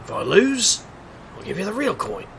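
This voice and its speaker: A cocky trickster detective played by a man in an audio drama, his voice heavy with gravel and edged bright. He works like a street magician, wry and theatrical, with showy patter over faint background noise. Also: high-pitched, huge pitch swings, normal speed, exaggerated voice. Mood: cocky, taunting. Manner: showman's sing-song patter, wry and theatrical. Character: male street magician private investigator, cocky, wisecracking trickster. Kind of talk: scripted audio drama acting.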